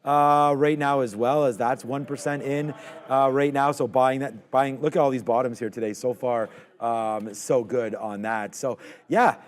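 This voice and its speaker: A man co-hosting a live trading show, talking through his trades in a relaxed, conversational way with lots of fillers. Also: mid-range voice, a little slow. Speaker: stock trading show co-host. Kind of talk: live market commentary.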